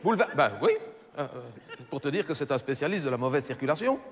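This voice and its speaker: A man's voice: a little high, expressive, fast talker, big-room sound, heavy background noise, lightly acted. A man voicing a chatty middle-aged housewife with a Belgian French accent, rambling and stammering through self-corrections in a stand-up monologue. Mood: gossipy. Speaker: comedian.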